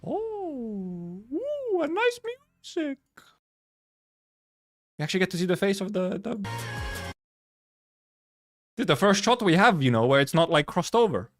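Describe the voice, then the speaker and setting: A man's voice: sits high, expressive, brisk, long pauses, fairly bright tone. A man streaming a casual game trailer reaction, rambling playfully, repeating himself and swearing casually. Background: faint music.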